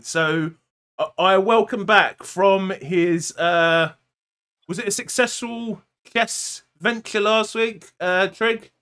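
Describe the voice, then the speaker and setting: A man's voice: sits high, fairly bright tone. A man hosting a casual football fantasy podcast, chatty and full of little fillers. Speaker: podcast host.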